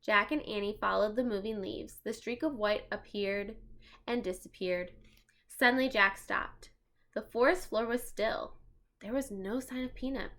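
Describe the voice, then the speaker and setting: A woman doing an expressive storybook read-aloud for children, addressing listeners as friends and explaining as she goes. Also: mid-range voice, measured pace.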